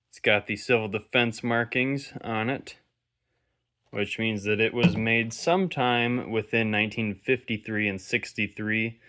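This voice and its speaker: A man with a fairly deep voice talks through a hands-on electronics inspection. He is casual and unscripted, thinking aloud and wandering into musing asides as he goes. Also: sharp tone. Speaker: host of a vintage electronics inspection video. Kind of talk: casual, unscripted show-and-tell commentary.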